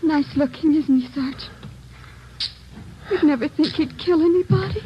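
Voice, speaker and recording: Upset tired young wife, american, high plaintive voice, old radio show, dull n faintly noisy. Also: female voice, unhurried. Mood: bitter, loving. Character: weary, distraught young wife of a killer. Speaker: actress. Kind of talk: 1940s radio drama acting.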